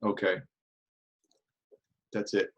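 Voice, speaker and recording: A man acting as grammarian at a club meeting on a video call, speaking in a formal, deliberate way. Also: dull audio, slight background noise. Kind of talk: explaining a meeting role.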